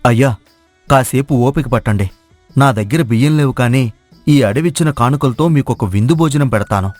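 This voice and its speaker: A man's voice: medium pitch, normal speed, darkish tone, no echo, close to an everyday voice. A man playing a humble, kind-hearted village cook in a moral story, speaking earnestly and plainly. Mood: caring. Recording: dry studio voice over music.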